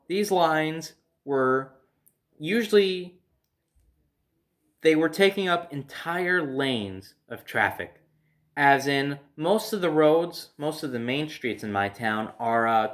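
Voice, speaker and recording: A man's voice: a little high, lively intonation, slow, a bit bright. An American man telling a personal story casually, in a rambling way, circling back over details.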